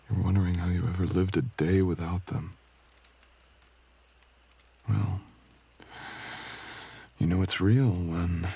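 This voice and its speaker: A man playing a brooding, gentle dragonkin stranger in an intimate roleplay audio, speaking softly and hesitantly in courtly phrasing. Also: exaggerated voice. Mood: wistful. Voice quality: low, gravelly, husky. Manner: soft, hesitant, tender, archaic courtly phrasing.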